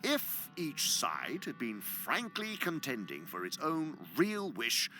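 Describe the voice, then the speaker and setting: A man's voice: a little high, huge pitch swings, takes it slowly, bright, forceful tone, faint music behind, heavily acted. A man playing a sly, gloating senior demon who lectures pompously for a radio drama, savoring his words and sometimes dropping to a whisper. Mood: animated, mocking. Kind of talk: theatrical radio drama monologue.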